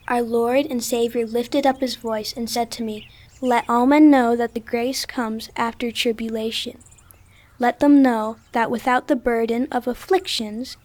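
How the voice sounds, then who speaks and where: A devout, earnest girl of twelve, played by a woman, speaks in a high voice with music underneath. The delivery is that of a saintly child in a religious audio drama. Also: darkish tone, lightly acted.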